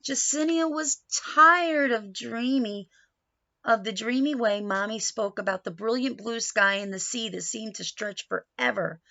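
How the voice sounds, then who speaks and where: A woman reading a picture book to young children like a teacher, pausing to explain and put questions to her listeners. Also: on the high side, gravelly, bright, forceful tone, muffled recording. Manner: instructive, questioning, addresses young listeners directly.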